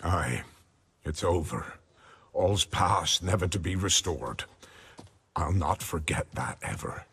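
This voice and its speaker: A man playing a shaken, grieving villager in a fantasy video game, hoarse. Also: sits low, takes it slowly, pauses often, a bit bright, full character voice. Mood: haunted, grieving. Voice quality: hoarse, raspy. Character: shaken, grieving male peasant villager, haunted and bitter.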